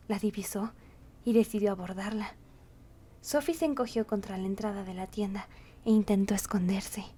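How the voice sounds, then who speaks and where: A woman's voice: fairly high pitch, dark tone, breathy edge. A woman reading scripted storybook narration as the narrator.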